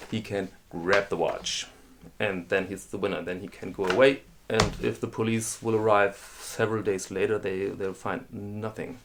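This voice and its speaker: Man, German accent, lowish voice, hesitant explainer, restarts sentences. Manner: halting, restarts phrases, frequent ums. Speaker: host. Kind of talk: casual explainer monologue.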